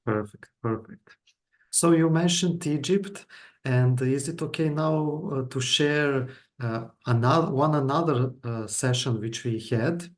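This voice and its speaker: A man's voice: mid-range voice, unhurried. A man, a hypnotherapist interviewing his client in a reassuring way, with hesitant fillers. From Greece. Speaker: hypnotherapist host.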